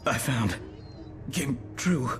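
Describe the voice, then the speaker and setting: Horror game role: injured ranger, scared, broken phrases, slow pace, music underneath. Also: male voice, mid-range voice, expressive. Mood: weak, terrified. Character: gravely injured, frightened park ranger. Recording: loud music behind.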